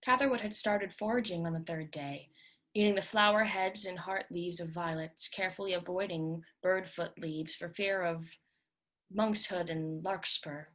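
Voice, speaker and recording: Female reader for literary fiction, slightly low pitch, scripted dramatic narration. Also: normal speed, a bit bright.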